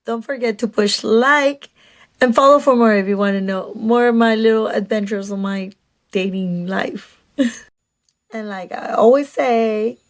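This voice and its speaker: Mexican-accented woman vlogger, storytime to camera, raised pitch, unhurried delivery. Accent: Mexican Spanish. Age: adult. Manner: chatty, animated, many restarts and "like"s; slips into Spanish "dije". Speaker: dating vlogger. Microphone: phone camera.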